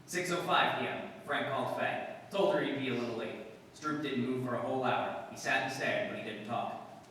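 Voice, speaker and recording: Male actor as no-nonsense detective, clipped and matter-of-fact 1950s radio delivery, forceful tone, distant reverberant recording. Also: medium pitch, a little fast, natural voice. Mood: deadpan. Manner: terse, deadpan, matter-of-fact. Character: no-nonsense male police detective who narrates his cases. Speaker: amateur radio-play actor. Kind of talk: 1950s police radio drama, clipped narration. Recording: echoey hall from far off, faint noise.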